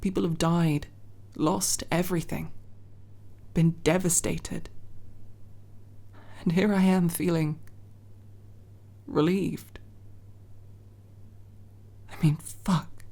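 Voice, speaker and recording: A young woman playing a guarded but tender tomboy friend in an ASMR roleplay, speaking intimately and haltingly, with sincere, teasing, casually sweary delivery. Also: on the low side, fairly even intonation, creaky, mellow, full character voice. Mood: guilty, confiding. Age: young adult. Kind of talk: ASMR roleplay, intimate one-sided dialogue.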